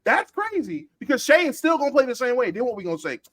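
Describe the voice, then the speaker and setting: A man trading takes on a casual group podcast in a high, animated voice. He rattles off quick lines, his pitch swooping up whenever he's incredulous. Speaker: podcast co-host.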